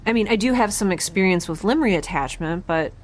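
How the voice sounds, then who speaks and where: A woman playing an exhausted, injured but determined young scientist in an audio drama, speaking in a halting, wry way that trails off mid-thought. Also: medium pitch, animated, natural voice. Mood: weary, businesslike. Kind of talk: audio drama log monologue and dialogue.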